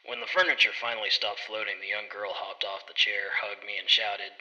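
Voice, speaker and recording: A man playing an earnest, bumbling bear private detective, narrating his case in a deadpan, theatrical old noir style. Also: fairly low pitch, brisk, distant mic, low-quality recording. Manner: deadpan, theatrical hard-boiled storytelling. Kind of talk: scripted first-person noir detective narration.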